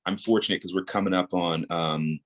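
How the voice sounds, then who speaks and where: Low-pitched man explaining his craft. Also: steady tone, a little fast. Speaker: audio drama creator, podcast guest. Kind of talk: casual interview talk, explaining his craft.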